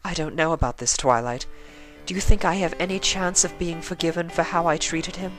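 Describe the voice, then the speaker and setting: A man's voice: a little high, brisk, a bit bright, soft background music, voice pushed up, lightly acted. A man voicing a refined, dramatic, anxious female unicorn with a genteel, earnest manner in a cartoon fan fiction reading.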